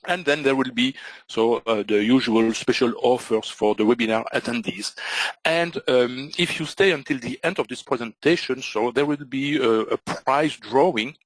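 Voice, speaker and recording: A man's voice: medium pitch, no echo, rough recording. Belgian man presenting, full of fillers. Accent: Belgian French. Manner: halting, many "uh" and "so" fillers. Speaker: trading instructor. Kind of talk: webinar presentation.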